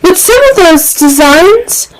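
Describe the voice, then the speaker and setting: A woman's voice: extremely high pitch, expressive, takes it slowly, voice pushed up, exaggerated voice, poor audio. A woman voicing a perky, flamboyant young man who chatters with gushing drama in a fan fiction reading. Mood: dramatic, dismayed. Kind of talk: fan fiction character acting.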